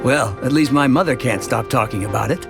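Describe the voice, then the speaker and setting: A man playing a chatty, earnest middle-aged suburban dad who narrates an animated short in a conversational, expressive storytelling way over music. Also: medium pitch, a little fast, creaky, close to an everyday voice. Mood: upbeat, proud. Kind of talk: scripted first-person narration for an animated educational short.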